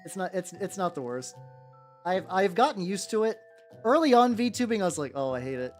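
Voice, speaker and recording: Young male streamer, relatively high voice, lively swooping pitch, casual and repetitive. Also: fairly quick. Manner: rambling, repeats words, laughs often, squeals in playful high bits. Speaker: VTuber streamer. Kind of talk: casual livestream chat while painting miniatures. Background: soft background music.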